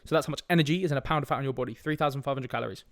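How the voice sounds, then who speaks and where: A man's voice: medium pitch, rapid-fire, no echo, clean studio sound. A young man with a sniffly cold, hosting a casual solo fitness podcast in a direct, laid-back way with frequent "right?" asides. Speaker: fitness coach podcast host.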